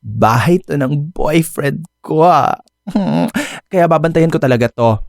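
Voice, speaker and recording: A man's voice: on the high side, expressive, takes it slowly, gravelly, dry recording, clean studio sound, exaggerated voice. A man with a Filipino accent playing a flamboyant, clingy, persistent lover in a radio drama, exclamatory and campy. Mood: flirty, playful. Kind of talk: Tagalog radio drama acting and narration.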